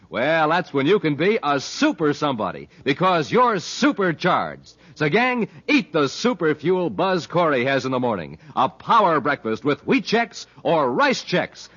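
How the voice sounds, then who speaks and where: A man's voice: a little high, a bit bright, husky. An American man announcing a 1950s radio serial in an emphatic, punchy, dramatic style. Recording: muffled, hissy old 1950s radio recording.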